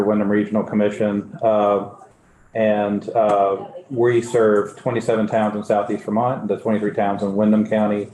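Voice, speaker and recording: A man directing a regional commission, giving an informal briefing to a committee and talking with plenty of fillers and restarts. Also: fairly low pitch. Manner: relaxed, halting, many fillers and restarts. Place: legislative committee hearing. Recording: roomy hearing-room audio with faint hiss.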